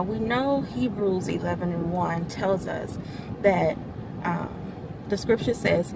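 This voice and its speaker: A woman who oversees a church's media ministry gives a midweek devotional. She stresses key words, gets louder as she explains scripture, and laughs lightly between remarks. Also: medium pitch, background noise.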